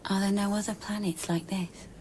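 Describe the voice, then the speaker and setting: A woman acting a fragile, anxious lover in intimate radio drama dialogue, speaking softly, often close to a whisper. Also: mid-range voice, fairly even intonation, normal speed, natural voice. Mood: hesitant, uneasy. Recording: clearly audible background noise and ambience.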